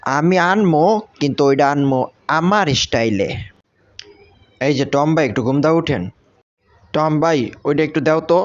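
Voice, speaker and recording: A man's voice: on the high side, animated, moderate pace, long pauses, lightly acted. A man with a Bangladeshi accent voicing a cheeky young mouse pupil in a comic cartoon dub. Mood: cocky. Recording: muffled lo-fi audio with clear noise.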